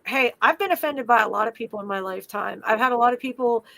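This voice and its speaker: A middle-aged woman with a fairly high voice speaks as an advocate for children. She is passionate and emphatic and hammers each point through repetition. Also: quick pace, gravelly, pushed and bright, no echo. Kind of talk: podcast conversation.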